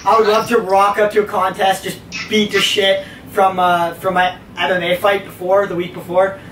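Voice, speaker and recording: A young man with a high, light voice, talking as a podcast host in a loose, rambling, cursing style. The sound bounces around a small echoey room, and there's a faint hiss underneath. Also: moderate pace. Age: young adult. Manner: rambling, profane, energetic. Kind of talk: casual chat.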